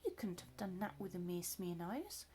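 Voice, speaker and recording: A woman voicing a wise old python snake in a storybook read-aloud, speaking in a lower, measured, knowing voice. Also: lively intonation, mellow, slight background noise, lightly acted.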